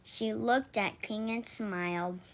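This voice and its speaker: A woman reading a children's story aloud, haltingly, often stumbling over and repeating words. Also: medium pitch, steady tone, fairly bright tone. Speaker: storybook reader for an online read-aloud.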